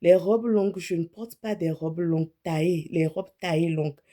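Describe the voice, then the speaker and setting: A woman's voice: fairly low pitch, moderate pace, dark tone. A woman in her thirties, an image consultant, speaking casually and frankly to camera about what to wear, often correcting herself mid-sentence. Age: 30s.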